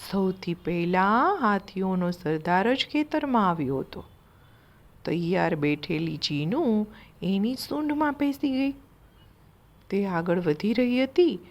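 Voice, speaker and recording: Slow-paced woman telling a children's story. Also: medium pitch, expressive, muffled recording. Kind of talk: narrating a children's moral story.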